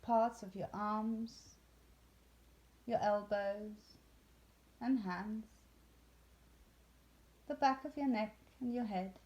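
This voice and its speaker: Slow, mellow woman guiding meditation. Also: mid-range voice, fairly even intonation, dull audio. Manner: soothing, unhurried, with long pauses. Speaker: yoga nidra instructor. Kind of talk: guided meditation.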